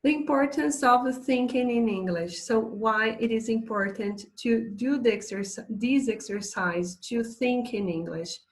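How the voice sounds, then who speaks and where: Woman, English teacher, chatty, fairly high voice, laptop mic. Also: a little slow, hardly any pauses. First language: Portuguese. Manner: chatty, reading slides aloud with asides. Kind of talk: online English lesson.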